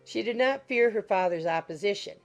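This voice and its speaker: A woman's voice: medium pitch, animated, fairly quick, faint music behind. A woman narrating a classic novel as a scripted audiobook.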